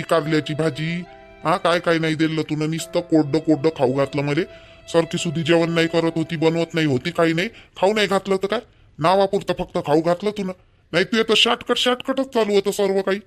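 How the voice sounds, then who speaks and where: A man plays a scolding young village husband in a homemade cartoon. His voice sits high, and he nags bluntly and repetitively in a rural Vidarbha Marathi accent. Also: fairly quick, faint music behind, close to an everyday voice. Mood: complaining, sarcastic. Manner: blunt, nagging, repetitive complaining. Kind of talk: amateur cartoon dialogue in rural dialect.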